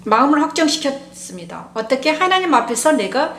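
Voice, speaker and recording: A woman pastor giving an informal devotional explanation of scripture, speaking haltingly with a sore, strained throat. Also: high-pitched, sing-song, a little hiss or hum. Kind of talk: devotional Bible exposition, informal. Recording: roomy echo.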